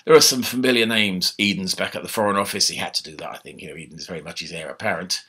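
An older man giving a casual, animated history lecture from his home study, speaking into a laptop webcam. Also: low-pitched, fast, breathy edge. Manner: animated, conversational, gesturing with his hands. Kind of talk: informal online history talk. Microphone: laptop webcam mic.

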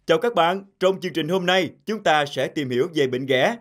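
A man's voice: a little high, animated, brisk, over music, close to an everyday voice. A man voicing a formal pencil TV doctor, presenting health advice in a cartoon. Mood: informative. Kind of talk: instructional TV presenting.

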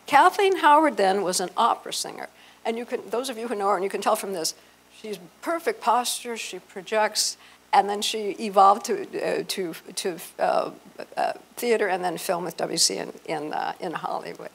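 A woman in her sixties giving an informal, halting talk as presenter, on a handheld microphone. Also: mid-range voice, gravelly, husky. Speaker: event presenter. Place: hotel meeting room, indoors.

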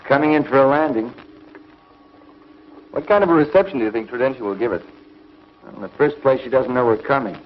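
An actor playing a learned, wary scientist in a 1950s TV serial, measured and explanatory. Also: male voice, medium pitch, natural voice. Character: learned scientist doctor, wary space companion. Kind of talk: 1950s television serial acting. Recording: dull, noisy 1950s television soundtrack.

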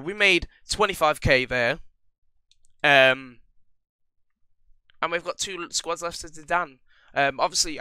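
A man's voice: a little high, pushed and bright, clear, resonant tone, dry recording. A young man with a cold giving rambling, casual commentary over a football game, full of ums and restarts. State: recovering from a cold. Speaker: gaming channel host.

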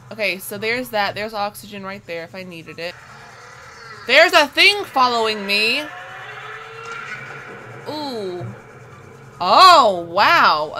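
A woman's voice: a little high, a little hiss or hum. A woman in her twenties giving casual, playful let's play commentary, talking to herself with slangy exclamations. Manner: animated self-talk, playful exclamations and slang. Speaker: gaming video host. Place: small room indoors at home. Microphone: separate mic with phone as backup.

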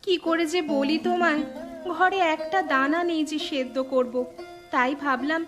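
A woman's voice: high-pitched, moderate pace, natural voice. Woman doing a pleading poor village wife. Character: village fisherman's wife Kamala, poor and worried. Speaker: voice actor. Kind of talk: cartoon dubbing. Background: music.

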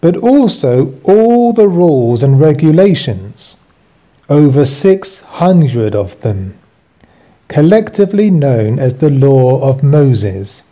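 Slow, mellow man reading aloud. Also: a little high, sing-song. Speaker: host reading his own book with commentary. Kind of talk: scripted reading with commentary.

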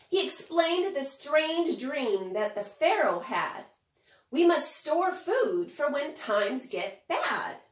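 A middle-aged woman giving a lesson and storybook read-aloud for young children, speaking in simple, expressive phrases. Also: high-pitched. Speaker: preschool Bible teacher. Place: home kitchen, indoors. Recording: roomy kitchen echo.

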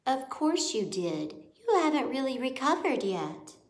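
A woman's voice: sits high, sing-song, measured pace, voice pushed up. A woman voicing a caring, soothing mother mouse in a storybook reading. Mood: gently firm. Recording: echoey room.

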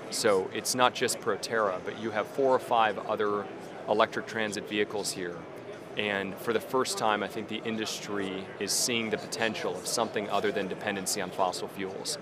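A man in his thirties, a company executive, giving an earnest promotional interview in a busy trade show hall. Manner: earnest, fluent pitch. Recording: crowd chatter behind.

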